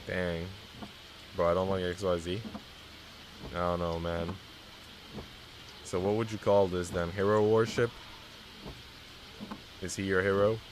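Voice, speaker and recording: A man's voice: low voice, flat delivery, measured pace, long pauses, a little hiss or hum, low-quality recording. A man live-streaming, reading a visual novel aloud and chatting casually with viewers in a slangy, teasing way.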